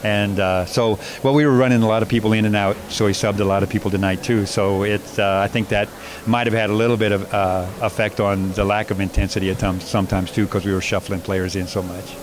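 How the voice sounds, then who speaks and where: A man coaching basketball, answering postgame interview questions in a measured way with many fillers and restarts. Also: lowish voice, level tone. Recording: noisy background.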